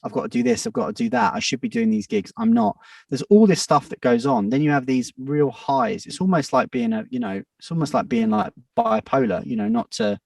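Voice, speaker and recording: A man in his thirties talks through his thoughts in a casual podcast chat, wandering and restarting as he goes. His voice has a gravelly edge and swings widely in pitch. He sits a little back from the mic in a dry, dead-sounding room. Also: very animated, a little fast, a little mellow, no room sound, recorded from a distance. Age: 30s. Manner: reflective, rambling with many restarts and fillers. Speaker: music producer and DJ guest.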